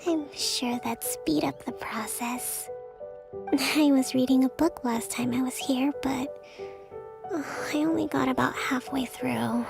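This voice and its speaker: Soft high anime game priestess, worn out n polite, bit of creak, breathy endings. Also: female voice, fairly even intonation, measured pace, music in the background. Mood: tired, composed. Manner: earnest, self-effacing, polite, sighing. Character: gentle, weary young female priestess and reluctant leader.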